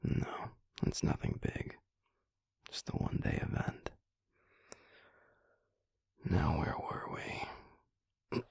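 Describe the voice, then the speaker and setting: A man playing a gruff, sardonic werewolf boyfriend in an intimate audio roleplay, half-whispering in a teasing, grudgingly fond drawl. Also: darkish tone, no room sound. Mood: casual, low. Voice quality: very deep, gravelly, breathy. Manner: slow teasing drawl with heavy sighs, dry sarcasm.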